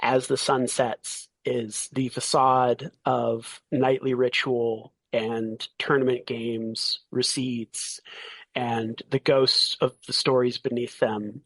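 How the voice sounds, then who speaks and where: A man acting as game master, narrating a tabletop game in a casual way with a halting, deliberate delivery. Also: mid-range voice, a bit bright, breathy edge, no echo, low-quality recording.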